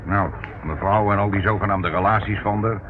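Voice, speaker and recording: An actor playing a small, evasive, henpecked crook who protests and pleads hesitantly in a radio play. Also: male voice, fairly low pitch, fairly even intonation, a little fast, lightly acted. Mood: aggrieved. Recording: dull, faintly noisy old radio recording.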